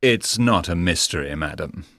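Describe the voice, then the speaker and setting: Guy doing a gentleman detective for an audiobook, low voice, lots of melody, calm n polite, very dry sound. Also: gravelly, no echo, heavily acted. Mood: calm, knowing. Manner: polite, measured, reassuring. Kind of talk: dramatised audiobook reading.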